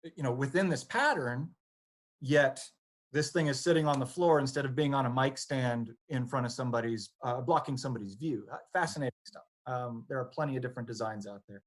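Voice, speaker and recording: Halting man explaining on a panel. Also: mid-range voice. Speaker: audio technician on a training panel.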